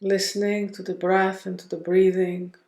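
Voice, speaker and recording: A woman's voice: mid-range voice, flat delivery. Woman guiding meditation in an echoey room. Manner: gentle, unhurried, with long pauses between phrases. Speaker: meditation guide. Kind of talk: guided meditation.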